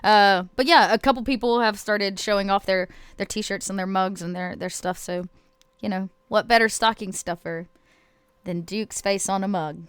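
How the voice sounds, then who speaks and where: A woman's voice: medium pitch, normal speed, clean tone, dry recording. A woman chatting casually as a player in a tabletop roleplaying show. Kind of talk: casual chat.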